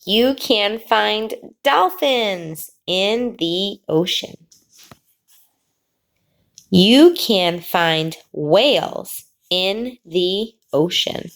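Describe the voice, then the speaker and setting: A woman teacher reading a picture book aloud to children, pausing before key words and explaining the pictures. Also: medium pitch, animated, creaky. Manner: slow, drawn-out reading with sing-song pauses before the last word.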